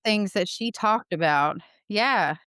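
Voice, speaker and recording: A woman's voice: mid-range voice, expressive, slow, pushed and bright, clean tone, no room sound, clean studio sound. A woman in her forties with a Southern American accent, a podcast guest chatting casually and laughing easily. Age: 40s. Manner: laughs easily, talks in loose run-on sentences. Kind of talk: casual conversation.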